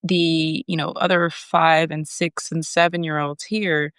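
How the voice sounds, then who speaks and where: A woman's voice: sits low, little pitch movement, a little slow, a bit bright, clean tone, no room sound, clean studio sound. Chatty woman hosting a podcast. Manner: chatty, loose, with fillers and restarts. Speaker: podcast host. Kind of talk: casual interview. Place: home abroad, on a remote call.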